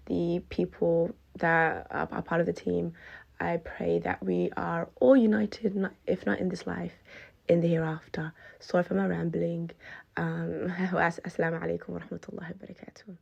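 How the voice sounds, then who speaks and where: A woman's voice: lowish voice, level tone, moderate pace, vocal fry, breathy edge, muffled recording. A woman from Western Australia, sending an earnest, devout voice memo to a podcast, with thanks and prayers for its host. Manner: earnest, devout, softly spoken. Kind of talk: heartfelt recorded voice message.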